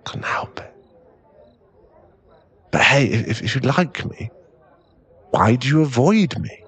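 A man playing a drunk, clingy, lovesick boyfriend in an intimate ASMR roleplay, with halting, pleading speech and a husky, gravelly voice. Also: mid-range voice, lively intonation, a bit bright, heavily acted. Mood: surprised, smitten. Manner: tipsy, halting, drawn-out, pleading delivery.

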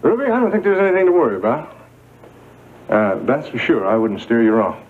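Actor playing hearty male soap opera tycoon, persuasive, lively intonation, dull lo-fi recording. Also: medium pitch, fairly quick, slight background noise, close to an everyday voice. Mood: jovial, reassuring. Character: jovial, reassuring oil businessman. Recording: muffled 1980s TV tape, music behind.

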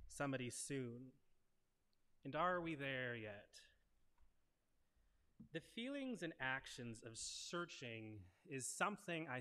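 A man's voice: mid-range voice, sharp tone. A young man giving an earnest, conversational sermon at a lectern, speaking into a headset mic. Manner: earnest, conversational preaching with rhetorical questions. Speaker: preacher. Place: wood-paneled church sanctuary at a lectern. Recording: long church reverb.

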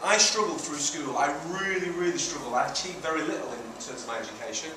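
A man's voice: on the high side, fast talker. Man presenting in a very echoey room. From Manchester, England, and Italy. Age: middle-aged. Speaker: charity founder giving a presentation. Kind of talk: informal public presentation. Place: indoor community centre meeting room. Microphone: distant camera at the back of room. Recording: very echoey room, distant mic, noisy.

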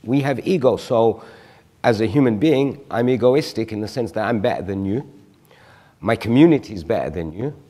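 A man's voice: lowish voice, expressive, moderate pace, warm tone, in a large hall. A man in his forties, a guest on a studio panel discussion, explaining his view reflectively with examples. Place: TV studio stage.

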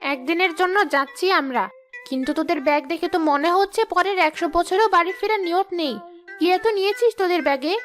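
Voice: extremely high pitch, quick pace, fairly bright tone, faint music behind. A performer voicing a bossy female ghost teacher in a children's cartoon, scolding and sarcastic.

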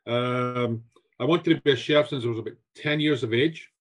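A Scottish man in his sixties tells his story with lively enthusiasm, often doubling up words as he goes. His voice sits at an ordinary pitch and comes through a home video call. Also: moderate pace. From Scotland. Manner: animated, repeats words, gestures with hands. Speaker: guest chef. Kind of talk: storytelling interview answers. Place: home room with shelves.

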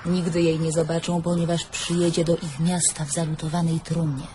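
A woman's voice: deep voice, level tone, brisk, dark tone, a little hiss or hum, lightly acted. An actress playing a grave, watchful female storyteller in a radio drama chorus, declaiming her lines. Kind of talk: theatrical radio drama narration.

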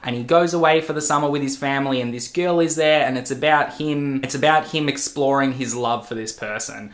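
A man's voice: mid-range voice, fairly quick, fairly bright tone. A man, giving a blunt, sweary casual film review from a small room at home. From Australia.

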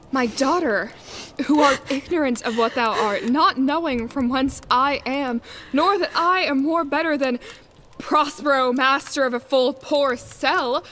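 Woman doing a calm protective wizard parent, shakespeare radio play, slight noise behind. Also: sits high, measured pace. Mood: soothing, solemn. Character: protective, commanding magician parent, calmly reassuring.

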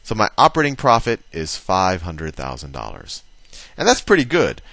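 A man's voice: low-pitched, wildly swooping pitch, normal speed, pushed and bright, no room sound. A man giving a casual explanatory lesson, talking it through conversationally and thinking aloud as he writes. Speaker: teacher.

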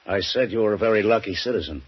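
An actor playing a gruff, no-nonsense male police lieutenant, in 1940s radio drama style. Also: lowish voice, fast talker, lightly acted. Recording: muffled 1940s radio broadcast.

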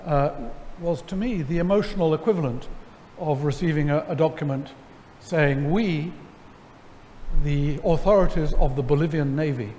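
A middle-aged man, seated on stage, telling a story to an audience in a wry, animated way, full of ums and restarts. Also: on the high side, normal speed, a little hiss or hum. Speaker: lecture guest. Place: large church sanctuary before an audience. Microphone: headset mic. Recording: hall reverb, faint ambience.

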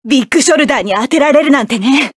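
A proud hot-tempered mecha pilot. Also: female voice, high-pitched, lively intonation, racing, no room sound, studio quality. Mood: grim, determined. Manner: sharp, commanding, clipped battle barks. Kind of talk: video game battle voice lines.

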